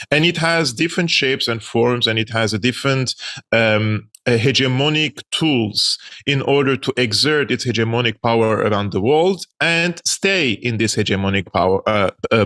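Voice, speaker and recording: Syrian-born male commentator, bright forceful tone, emphatic interview guest. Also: mid-range voice. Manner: fluent, emphatic, with some hesitations. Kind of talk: interview answers.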